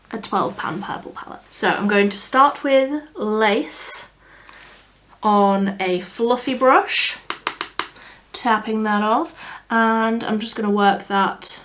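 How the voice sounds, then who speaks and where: Slow-talking, slightly croaky young woman. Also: fairly high pitch, a bit bright. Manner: chatty, easygoing, thinking aloud. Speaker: beauty vlogger. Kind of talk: casual makeup tutorial chat.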